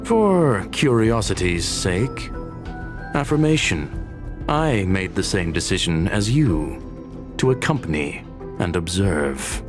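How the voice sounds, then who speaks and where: A male robot genius from a video game, composed and analytical. The voice sits fairly low yet moves with very varied intonation. Phrasing is formal, precise and courteous, over light music. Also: very animated, slow pace, heavily acted. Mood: calm, analytical. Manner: formal, precise, clipped one-word prefaces. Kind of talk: theatrical video game cutscene dialogue.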